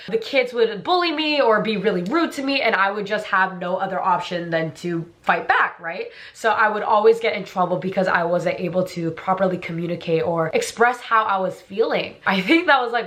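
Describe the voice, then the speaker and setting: Young woman from New Jersey, Korean first language, casual vlogger, playful and bubbly, mid-pitched, pops into squeals. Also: fairly bright tone. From New Jersey, USA. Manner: bubbly, playful, with sudden high squeals and jokey asides. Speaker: YouTuber. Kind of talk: casual to-camera vlog talk.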